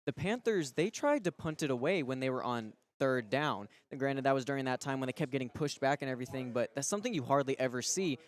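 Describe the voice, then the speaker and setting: A man's voice: medium pitch, expressive, fast, hardly any pauses. A man giving conversational live commentary as a co-commentator on sports radio.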